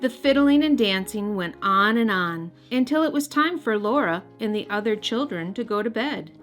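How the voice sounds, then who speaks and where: A woman's voice: on the high side, lively intonation, normal speed, fairly bright tone. A woman hosting and narrating a children's read-aloud, speaking expressively and directly to young listeners. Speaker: host and storybook reader. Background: music.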